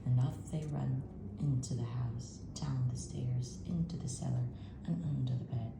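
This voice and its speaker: Low-voiced woman reading a spooky picture book. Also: fairly even intonation, gravelly. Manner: hushed, drawn-out spooky storytelling. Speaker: storytime reader. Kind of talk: expressive picture-book read-aloud for children. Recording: roomy echo, loud background noise.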